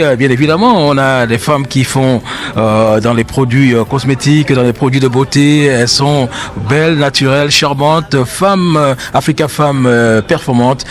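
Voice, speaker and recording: Man reporting with formal, flowery phrasing. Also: mid-range voice. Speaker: event reporter interviewing exhibitors. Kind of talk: on-location interview.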